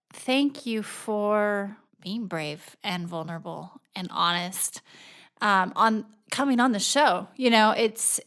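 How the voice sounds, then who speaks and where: Woman, podcast host, emphatic, appreciative, medium pitch. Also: a bit bright. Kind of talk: interview conversation.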